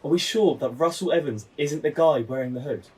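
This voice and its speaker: An actor playing a practical, questioning police investigation assistant with a husky voice in an audio drama. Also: male voice, on the high side, fairly quick, darkish tone. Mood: doubtful.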